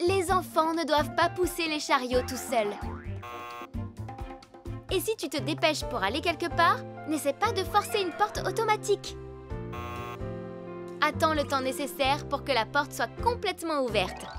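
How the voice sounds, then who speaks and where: A woman voicing a caring female rescue vehicle, teaching safety to children in a clear, instructive way for a cartoon. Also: high-pitched, lively intonation, moderate pace, pauses often. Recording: loud children's music behind.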